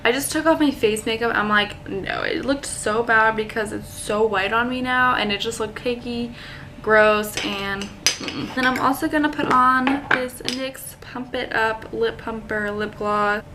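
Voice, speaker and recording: A young woman with a high voice talks to her viewers in a casual vlog style. She is chatty and informal, with a giggly manner. There is faint noise behind her. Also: a little slow. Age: young adult. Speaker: vlogger. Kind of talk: casual get-ready-with-me vlog chat.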